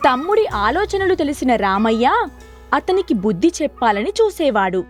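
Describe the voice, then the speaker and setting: A woman narrating a scripted moral story for children in an expressive, clearly phrased storytelling manner. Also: high voice, normal speed, faint music behind.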